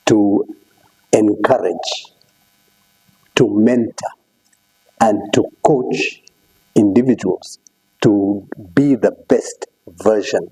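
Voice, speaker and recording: A man from Kenya, a guest on an interview show, answering in slow, deliberate, measured phrases. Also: on the low side, warm tone, husky, low-quality recording.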